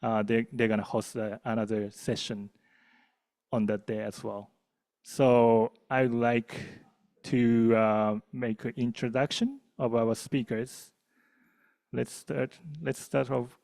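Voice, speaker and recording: A man with a Japanese accent casually moderating a panel in a halting, hesitant way. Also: medium pitch, gravelly, darkish tone. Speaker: panel moderator, event co-lead. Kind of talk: casual panel hosting before an audience.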